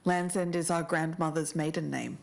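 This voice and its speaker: A woman's voice: deep voice, steady tone, moderate pace, vocal fry. A woman co-hosting a podcast, moving between scripted narration and casual chat. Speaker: podcast co-host.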